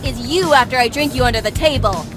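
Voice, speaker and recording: Woman playing a high-voiced, fierce young pilot girl. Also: quick pace, lightly acted. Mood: defiant. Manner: scornful, defiant. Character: fierce, proud, hot-tempered young female student pilot. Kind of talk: voiced anime-style game acting. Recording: game music behind the voice.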